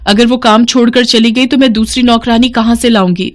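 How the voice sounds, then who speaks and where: A woman's voice: fairly high pitch, steady tone, fairly quick, voice pushed up, close to an everyday voice. A woman voicing a busy young city wife and employer who gives instructions in a moral story cartoon. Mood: worried.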